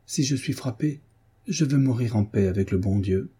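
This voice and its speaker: Man voicing a plaintive, husky old grandmother. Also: medium pitch, expressive, normal speed, warm tone. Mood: plaintive, resigned. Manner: sighing, hesitant, plaintive. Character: pious, mournful, stubborn old peasant grandmother of seventy-five. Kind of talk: audiobook character voice.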